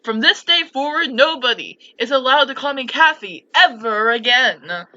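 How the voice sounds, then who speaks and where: Woman playing a giddy, dramatic young noblewoman, bright voice. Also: high-pitched, lively intonation, normal speed, highs cut off, pitched above the performer's own voice, heavily acted. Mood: dramatic annoyance. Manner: exuberant, dramatic. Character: giddy, sarcastic, pouty young noblewoman full of schemes.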